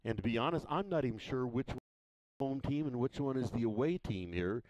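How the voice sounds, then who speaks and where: A man broadcasting a high school baseball game from a ballfield press box, talking in a folksy, unhurried way with many fillers and restarts. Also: expressive, darkish tone, dry recording, lo-fi sound. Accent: Missouri. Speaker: play-by-play broadcaster. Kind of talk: casual local sports broadcast.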